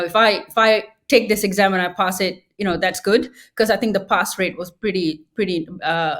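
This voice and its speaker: A woman from Malaysia, a guest physician telling her story in a casual interview, earnest and halting with many fillers. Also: mid-range voice.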